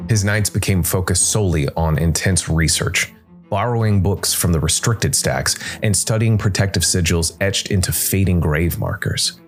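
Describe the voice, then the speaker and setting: A man narrating a scripted dark fantasy story in a measured way, with dramatic pauses. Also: sits low. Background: background music.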